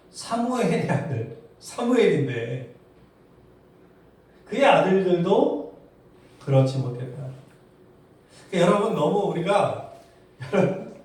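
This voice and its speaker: A man's voice: sits high, darkish tone. Male pastor preaching, very echoey. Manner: asks the congregation rhetorical questions. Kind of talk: sermon. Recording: very echoey hall, distant mic.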